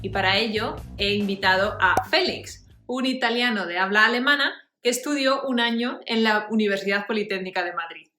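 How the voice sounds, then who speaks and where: A woman's voice: medium pitch, fairly quick, few pauses, pushed and bright, soft background music. A woman hosting a language channel, interviewing a guest with friendly, encouraging questions. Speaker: host and language teacher. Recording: echoey room.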